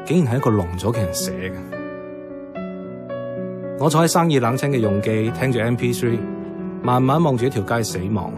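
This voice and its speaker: Casting: male actor as wry, reflective Hong Kong Cantonese cafe worker, medium pitch, radio drama over prominent music. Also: a little fast, long pauses, natural voice. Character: wry young tea-restaurant worker narrating his fading old street. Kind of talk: radio drama narration and dialogue.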